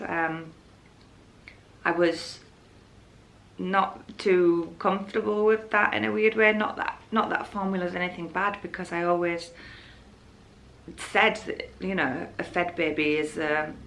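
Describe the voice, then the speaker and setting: A woman's voice: on the low side, creaky, a bit bright, slight background noise, rough recording. A young woman with a Yorkshire accent, chatting casually and candidly in a rambling way to her vlog viewers. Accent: Yorkshire English. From Hull, Yorkshire, England. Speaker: vlogger.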